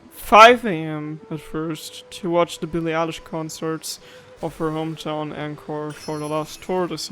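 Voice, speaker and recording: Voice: mid-range voice, pushed and bright. A gaming streamer giving casual let's play commentary, playful and rambling, often muttering to themselves. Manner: playful, rambling, talks to self. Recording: voice over game music.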